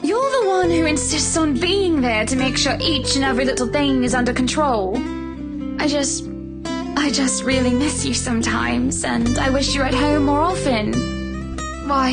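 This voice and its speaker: A woman playing a sweet, playful, wistful young wife in a visual novel, gentle and teasing. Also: sits high. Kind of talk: visual novel character acting. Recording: guitar music behind the voice.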